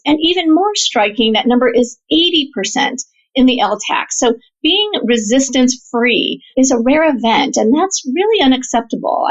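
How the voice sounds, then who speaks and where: A woman physician researcher explaining her work as an interview guest, articulate, earnest and emphatic. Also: fairly high pitch. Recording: slightly dull, lo-fi audio.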